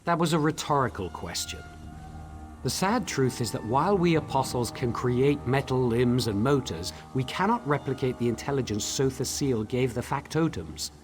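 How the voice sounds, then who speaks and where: An actor playing an eager, articulate tinkerer-scholar in a fantasy video game, speaking theatrically. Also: male voice, mid-range voice, expressive, moderate pace, faint music behind, lightly acted. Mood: musing. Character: eager male tinkerer-scholar, enthusiastic about his research.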